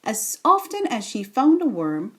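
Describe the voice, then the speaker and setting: Animated, slow-paced woman reading a storybook. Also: fairly high pitch, heavy background noise. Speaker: children's storybook reader. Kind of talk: expressive read-aloud bedtime story narration.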